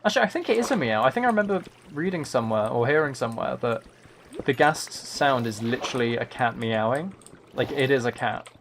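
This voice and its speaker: A man's voice: medium pitch, animated, a little fast, fairly bright tone. A man chatting casually on a gaming livestream in a playful, rambling way. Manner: playful, rambling, trying out game sound impressions. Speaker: livestreamer, author. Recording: faint noise with key clicks. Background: computer keyboard typing and clicking.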